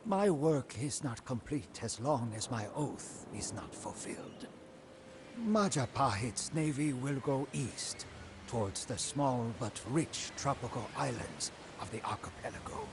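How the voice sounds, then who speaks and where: A man's voice: medium pitch, expressive, background noise. An actor playing an ambitious, scheming old prime minister, solemnly and theatrically narrating his life for a storybook game campaign. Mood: brooding, determined. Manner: solemn, theatrical, deliberate, with hushed line endings.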